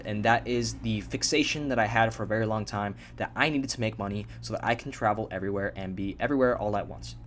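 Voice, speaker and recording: A man's voice: on the low side, a bit bright, a little hiss or hum. A young man from Japan telling his own story in a loose, rambling vlog, with plenty of fillers and the odd swear. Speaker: vlogger.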